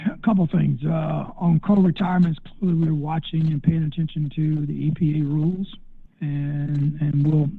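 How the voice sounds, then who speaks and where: A man answering questions as a company executive on an earnings call, speaking haltingly and repeating words. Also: on the high side, breathy edge, no room sound. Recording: dull band-limited conference call audio.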